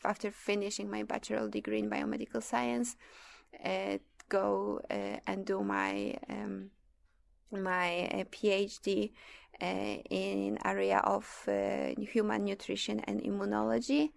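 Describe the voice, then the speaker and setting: A woman's voice: medium pitch, a little slow, gravelly. A woman in her twenties from Poland, a native Polish speaker with a Polish accent, telling her own story as an interview guest. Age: 20s. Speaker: interview guest, PhD student. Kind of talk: conversational interview, personal storytelling.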